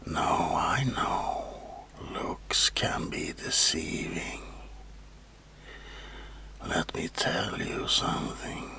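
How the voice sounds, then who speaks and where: Horror ASMR role: mad asylum doctor, extremely deep, crawling pace, menacing and obsessive. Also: male voice, pushed and bright, full character voice. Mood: fervent, secretive. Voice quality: breathy whisper with gravelly creak. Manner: slow, deliberate, menacing, theatrical pauses. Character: sinister mad asylum doctor, over eighty years studying, obsessed with immortality. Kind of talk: whispered ASMR horror roleplay.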